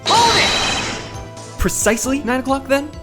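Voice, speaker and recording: A man's voice: extremely high pitch, very animated, unhurried, exaggerated voice. A man voicing an anxious, earnest young defense attorney in a video game dub, nervous and dramatic. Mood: challenging. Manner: nervous, dramatic, with muttered inner asides. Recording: long reverb, music underneath. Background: music.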